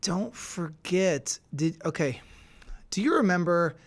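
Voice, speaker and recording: A man's voice: sits high, expressive, slow. A man co-hosting a podcast, who argues his opinions in a casual, emphatic and insistent way. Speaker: podcast co-host.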